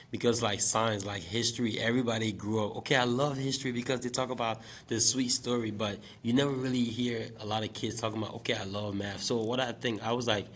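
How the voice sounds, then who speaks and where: A man in his late twenties from Liberia, speaking as an interview guest. He talks about teaching in a relaxed, reflective way, with many fillers. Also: fairly low pitch. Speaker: guest, special education math teacher. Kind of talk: casual interview talk.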